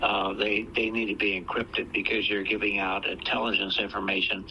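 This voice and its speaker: A man, a former police chief, explaining in a flat, matter-of-fact interview soundbite. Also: lowish voice, moderate pace, a little hiss or hum, poor audio.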